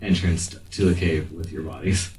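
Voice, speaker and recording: A man's voice: a bass voice, steady tone, fairly quick, a little mellow. A man narrating a tabletop game as the game master. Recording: roomy, distant mic with faint noise.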